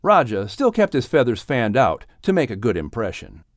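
A man's voice: mid-range voice, sing-song, moderate pace, dry recording, clean studio sound. A man narrating an audiobook story expressively, in a dramatic and earnest manner.

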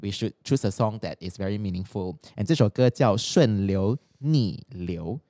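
A woman's voice: very deep voice, normal speed, dry recording, studio quality. A woman with a Singaporean accent co-hosting a podcast in playful, casual chat that slips between English and Chinese. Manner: animated, playful, jokey. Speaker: podcast co-host.

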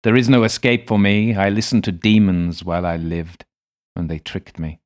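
A man's voice: low voice, fairly even intonation, normal speed, a little mellow, lightly acted. A man voicing the spirit of an old man in hell, who speaks archaic English in an earnest, beseeching way. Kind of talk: audiobook character voice.

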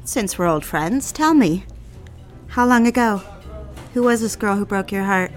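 A woman's voice: medium pitch, expressive, a little slow, lightly acted. Lady playing witty young girl, mid-range voice, coy and teasing, old-fashioned romance drama, light music under it. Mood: playfully probing. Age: young adult. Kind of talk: period romantic audio drama acting.

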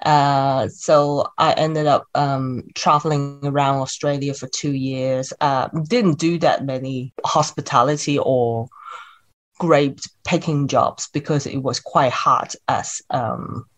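A woman's voice: very deep voice, steady tone, takes it slowly. A woman in her thirties from Hong Kong with a Hong Kong accent, a chatty podcast guest who talks with many fillers and restarts. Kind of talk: podcast interview.

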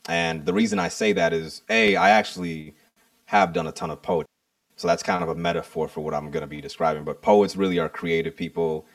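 A man's voice: sits low, steady tone, a little fast, no echo, far from the mic. A man guesting on a podcast, talking reflectively and explaining himself with metaphors, often checking in with "right?". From United States. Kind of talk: casual interview conversation.

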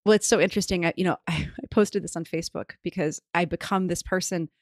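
A woman's voice: lowish voice, quick pace, dry recording. Dry, close-miked woman hosting with enthusiasm. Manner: warmly enthusiastic, thinks aloud with restarts and rising emphasis. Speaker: podcast host. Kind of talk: conversational interview.

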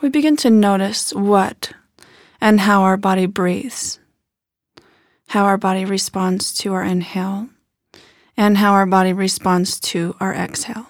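A woman leading a guided body scan meditation in a gentle, unhurried manner with soft phrase endings. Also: mid-range voice, fairly even intonation, no echo, studio quality.

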